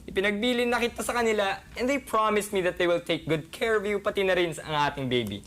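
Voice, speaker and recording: A man's voice: high voice, fast talker, fairly bright tone, pitched above the performer's own voice. A young man with a Filipino accent playing a devoted, tender boyfriend in an amateur radio drama. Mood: reassuring. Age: teenager or young adult. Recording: music playing behind the voice.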